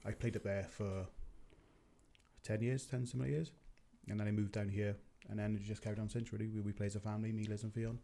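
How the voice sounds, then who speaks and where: A man's voice: fairly low pitch, level tone, creaky, warm tone. A Welsh man from Mid Wales chatting plainly as an interview guest, with a joking streak. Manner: brisk, plain-spoken, jokey. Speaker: guest bowls player. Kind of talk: casual interview chat.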